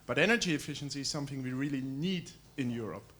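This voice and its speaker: A man's voice: medium pitch, fast talker, a bit bright. A man in the seminar audience arguing a point and asking a direct question of the panel.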